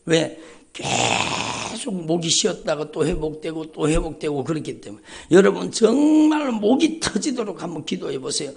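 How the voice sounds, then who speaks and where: A man's voice: a little high, huge pitch swings, normal speed, gravelly. A male pastor preaching an emphatic, repetitive sermon at a dawn church service, calling out often to the congregation. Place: church sanctuary.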